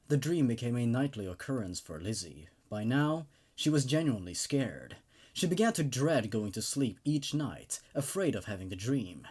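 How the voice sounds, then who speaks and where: A man hosting and narrating horror stories in a theatrical, expressive way, with casual asides. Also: mid-range voice, slightly rough. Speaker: host and narrator of a horror story reading show.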